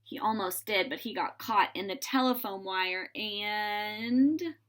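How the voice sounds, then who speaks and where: A woman reading a children's story aloud in an animated, dramatizing way, with pauses for suspense. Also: mid-range voice.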